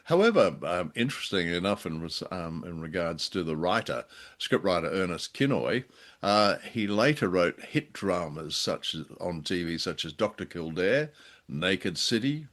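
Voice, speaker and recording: A middle-aged man co-hosting a podcast in casual chat, speaking in a hesitant, halting way. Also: deep voice, expressive, fairly bright tone. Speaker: podcast co-host. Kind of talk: casual scripted-topic chat.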